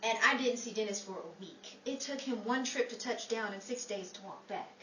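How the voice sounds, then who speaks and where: A woman's voice: a little high, moderate pace, fairly bright tone, lightly acted. An actress playing a wry, wisecracking wife in a 1940s-style radio comedy, telling her tales with animation. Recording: echoey, distant stage recording, faint noise.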